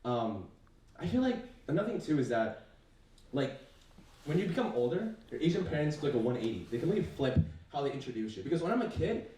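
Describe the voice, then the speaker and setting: A man's voice: fairly high pitch, sing-song, fast, dark tone, very echoey, distant mic, a little hiss or hum, poor audio. A young man whose first language is Chinese, doing a casual stand-up set full of fillers, swearing and self-mockery. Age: young adult. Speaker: stand-up comedian. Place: university comedy stage.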